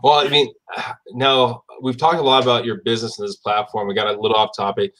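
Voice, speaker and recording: A man's voice: mid-range voice, level tone, brisk, fairly bright tone. A man hosting a casual interview, asking loose and meandering questions. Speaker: podcast host.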